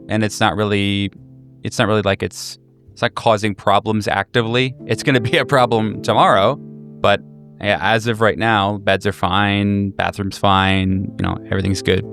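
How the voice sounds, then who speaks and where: A man narrating a tabletop game as game master, improvising in a conversational, halting way. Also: lowish voice, fairly bright tone.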